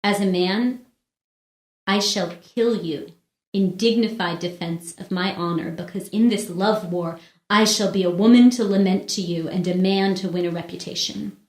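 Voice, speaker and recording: A woman's voice: medium pitch, normal speed, close to an everyday voice. An actress playing a proud, wronged noblewoman in a classical stage drama, speaking in formal, declamatory speeches. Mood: grave warning. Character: wronged noblewoman in armor, proud, pleading, vengeful. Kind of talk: classical stage verse drama, unrehearsed read. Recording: roomy echo around the voice.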